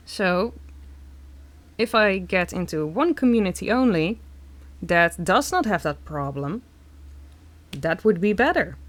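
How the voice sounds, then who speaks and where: A young Dutch woman with a Dutch accent, chatting casually and haltingly while reading comments on a live stream. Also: mid-range voice, a little hiss or hum. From Netherlands. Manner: slow, halting, many fillers.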